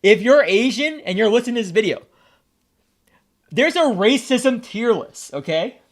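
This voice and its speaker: A man's voice: very high-pitched, lively intonation, moderate pace. A young American man chatting casually on a stream, brash and joking, crude and provocative. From United States. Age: young adult.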